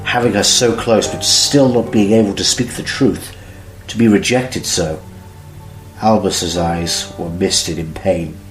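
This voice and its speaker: A man's voice: low-pitched, a little slow, echoey room, low-quality recording. A man reading scripted story narration for an audio drama. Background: music.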